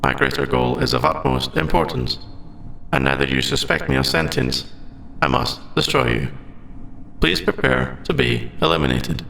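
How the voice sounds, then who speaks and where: A man voicing a formal, logical android AI in an ASMR audio roleplay, with precise, stilted phrasing and gravelly endings to his phrases. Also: mid-range voice, animated, normal speed, pushed and bright, slight background noise, full character voice, rough recording. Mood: deadpan. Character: formal, logical male android AI, secretly sentient and earnest.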